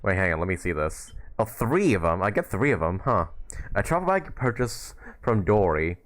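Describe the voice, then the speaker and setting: A man's voice: lowish voice, very animated, moderate pace. A man doing informal live gameplay commentary as a streamer, with wry, sometimes sweary asides. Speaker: game livestreamer. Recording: faint game music behind voice. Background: faint game music.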